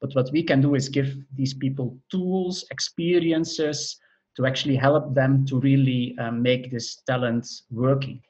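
A man's voice: mid-range voice, fairly even intonation, measured pace, a little mellow, highs cut off. A middle-aged man from Belgium, a professor of entrepreneurship, answering interview questions in a thoughtful way that explains things step by step, with frequent hesitations.

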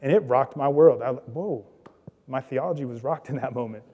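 A man preaching a sermon, telling a story in a relaxed conversational way with small jokes. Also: mid-range voice, normal speed, dull audio. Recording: reverberant hall.

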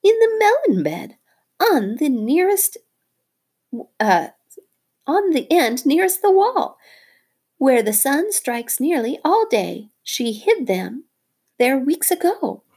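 Woman putting on a tiny boastful songbird, very high, shrill, sing-song, gushing, lively swoops. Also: slow, pauses often, heavily acted. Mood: eager.

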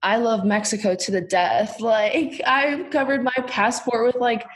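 A young woman telling a personal story casually in conversation, informal and halting, with frequent "like". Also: fairly high pitch, steady tone. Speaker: podcast participant.

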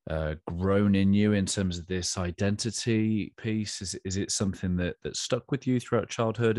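A man's voice: sits low, a little mellow, no echo, studio quality. A man hosting a podcast, reading a scripted intro and then chatting with his guest, with encouraging murmurs. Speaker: podcast host.

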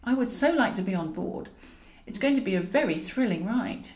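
A woman's voice: fairly high pitch, lively intonation, moderate pace, darkish tone, roomy sound, natural voice. A woman reading an audiobook, voicing a flirtatious, easily indignant woman with a theatrical, insistent way of talking. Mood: teasing, suggestive. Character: flirtatious, indignant woman pursuing a reluctant man.

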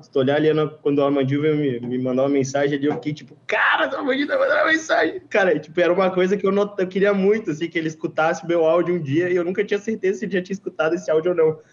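A young man with a Porto Alegre accent who talks very fast and excitedly in slang. His voice is a bit high, and the sound is muffled and lo-fi. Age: young adult. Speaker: interview guest. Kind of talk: casual chat.

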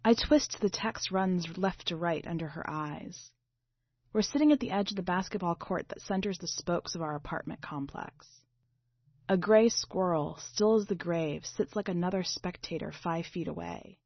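A woman reading a literary short story aloud in a measured, dramatic way. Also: fairly low pitch. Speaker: story reader. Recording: slightly dull, boxy roomy recording.

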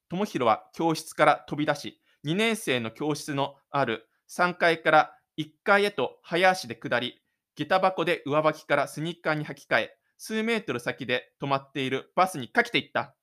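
A man with a fairly high, clean and smooth voice presents a homemade audio drama. He speaks as host and narrator, in a casual radio-show way or reading from his script. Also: lively intonation, moderate pace, fairly bright tone. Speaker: host and writer of a self-made audio drama.

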